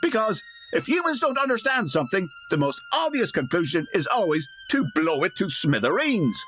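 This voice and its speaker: A man's voice: sits high, sing-song, normal speed, fairly bright tone. A man giving scripted comic narration for a radio drama parody, wry and theatrical. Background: music.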